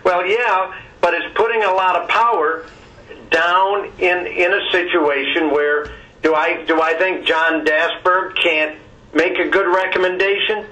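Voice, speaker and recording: A man with a fairly high, bright and forceful voice speaks as a board member on a conference call. He argues in halting, repeated phrases, often checking in with "okay", and sounds distant on the telephone line. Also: fairly even intonation. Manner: halting, repeats words, adds "okay" as a tag.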